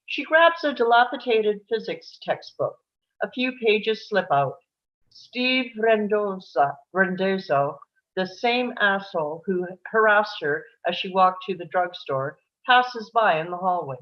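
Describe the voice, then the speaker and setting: A woman's voice: mid-range voice, expressive, a little slow, lo-fi sound. A woman reading stage directions as the narrator of a live screenplay table read.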